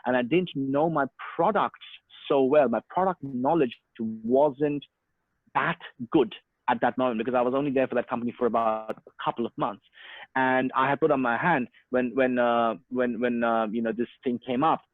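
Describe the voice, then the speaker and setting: A man's voice: mid-range voice, normal speed, dry recording. A man in his thirties with an Australian accent, raised there after an Indian birth, talking at length with lots of "uh"s and restarts. His voice comes through a tinny, phone-like video call line. The sound is dry, with no room around it. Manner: talkative, hesitant with many fillers and restarts. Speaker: interview guest, sales and marketing professional. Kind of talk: casual online interview.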